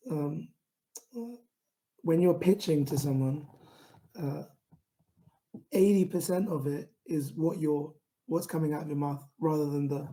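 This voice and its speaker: London guy talking straight to camera, slow, very dark mellow tone, lots of ums. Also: a little high, poor audio. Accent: London English. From England. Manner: self-described monotone, many fillers and restarts. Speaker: founder giving a pitching talk. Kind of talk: online talk to camera.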